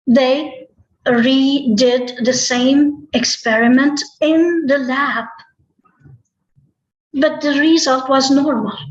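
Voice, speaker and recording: A woman telling a tall tale live, with deliberate, dramatic phrasing, pauses and repetition. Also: high voice. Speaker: storyteller. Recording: roomy, echoey, slightly muffled online-call audio.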